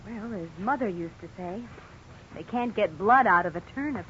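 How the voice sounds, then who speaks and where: A woman's voice: mid-range voice, expressive, moderate pace, a bit bright, close to an everyday voice. Woman acting a worried loving young wife, 50s radio play, very up and down, muffled old tape. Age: young adult. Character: anxious, affectionate young wife, gently questioning. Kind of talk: 1950s radio drama acting. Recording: dull, hissy 1950s radio recording.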